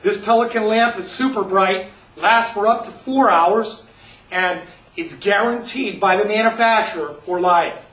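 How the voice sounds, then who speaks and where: A man pitching items for an upcoming auction in a folksy sales voice, often calling the listeners folks. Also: unhurried, fairly bright tone, distant mic. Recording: roomy, from off the mic.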